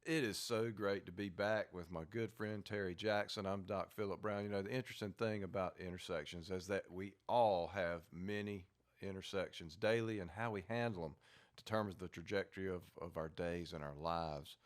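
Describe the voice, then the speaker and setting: A man's voice: low voice, steady tone, moderate pace. A man hosting a podcast in a conversational style. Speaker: podcast host.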